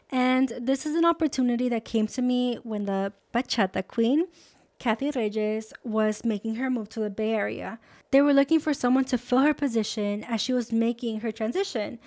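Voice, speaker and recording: A woman in her twenties from Los Angeles, hosting and introducing herself in a chatty, upbeat way with a few Spanish words mixed in. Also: fairly high pitch. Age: 20s. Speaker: host. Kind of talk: friendly scripted video introduction.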